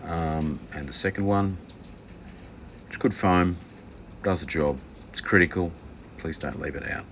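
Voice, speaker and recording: A man's voice: sits low, a little slow, sharp tone, a little hiss or hum. A man giving an informal, unscripted hands-on tutorial as an instructor, hesitant and rambling, with dry, offhand asides. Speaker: instructor from a loudspeaker kit maker.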